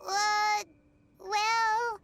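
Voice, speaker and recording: A woman's voice: very high-pitched, little pitch movement, very slow, bright, forceful tone, exaggerated voice. A woman voicing a tiny, cheeky, naive childlike fairy companion in a video game. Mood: teasing, hesitant. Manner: refers to self in third person, exclaiming. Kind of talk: English video game voice acting. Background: playful music.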